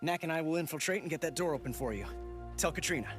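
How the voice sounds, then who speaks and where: An actor playing a bold, eager young adventurer hero in a video game cutscene, brisk and keen. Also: male voice, a little high, lightly acted. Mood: determined. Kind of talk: animated game cutscene acting. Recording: music behind the voice.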